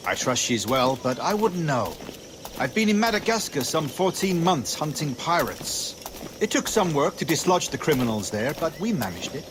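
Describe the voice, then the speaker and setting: A man's voice: fairly high pitch, very animated, moderate pace, background noise. An actor playing a composed, pompous male naval captain, clipped, genteel and self-assured. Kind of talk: video game cutscene acting.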